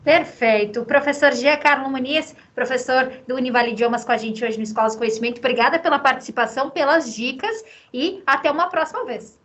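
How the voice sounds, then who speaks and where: A woman's voice: high voice, brisk, few pauses, fairly bright tone. A woman hosting a program, interviewing a guest in a polite, formal way. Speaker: program host. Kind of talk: interview host.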